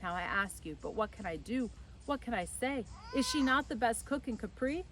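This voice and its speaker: A woman voicing a fond, expressive Italian father who keeps an inn, in a storybook, theatrical and full of rhetorical questions. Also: a little high, moderate pace, voice pushed up. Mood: fondly exasperated.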